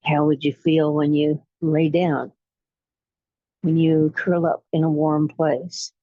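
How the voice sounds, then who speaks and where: A woman leading a guided relaxation meditation in a soothing, unhurried way, pausing between short phrases. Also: low-pitched, little pitch movement, no echo, narrow, tinny audio. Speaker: guided imagery facilitator.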